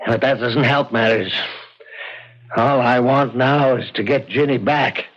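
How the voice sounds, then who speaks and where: A man's voice: mid-range voice, steady tone, measured pace, close to an everyday voice. An actor playing a remorseful, plain-spoken, worried father in 1940s radio style. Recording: muffled old radio broadcast.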